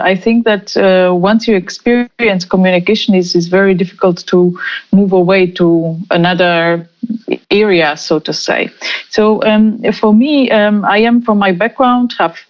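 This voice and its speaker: A woman in her forties who grew up in Romania, answering interview questions slowly with many fillers and restarts. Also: medium pitch. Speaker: guest. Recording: muffled lo-fi remote call audio.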